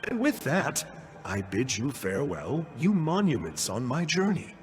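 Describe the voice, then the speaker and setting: A man's voice: medium pitch, huge pitch swings, unhurried. An actor playing a sly, poetic young male villain in a video game, speaking in a grandiose, mock-courteous way. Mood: wry, wistful. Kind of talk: scripted video game dialogue. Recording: game music behind. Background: game music.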